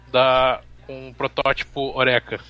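A Brazilian man co-hosting a motorsport show, reading results haltingly and stumbling over names. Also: medium pitch, flat delivery, dull audio, soft background music. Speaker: race show co-host. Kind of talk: casual podcast chat.

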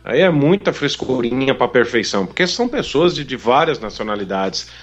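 A man's voice: mid-range voice, very animated, fairly quick, lo-fi sound. A Brazilian man hosting a motorsport show, chatting animatedly and laughing often. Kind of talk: casual podcast chat.

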